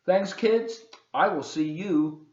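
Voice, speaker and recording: A man reading aloud to children as a volunteer storyteller, expressive and encouraging, with chatty asides. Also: sits high, slow pace, echoey room, lo-fi sound.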